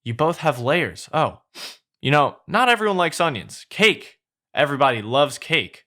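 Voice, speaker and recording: A man voicing a fast-talking, excitable donkey sidekick in a fairy-tale story, rambling and informal. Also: fairly high pitch. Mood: eager chatter.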